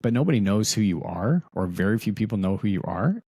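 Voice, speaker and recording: A man with a very deep voice hosting a podcast in a casual, rambling way. He repeats words as he goes. The recording sounds dry and close. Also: very animated, brisk, mellow, breathy edge, dry recording. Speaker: podcast host. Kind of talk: casual interview talk.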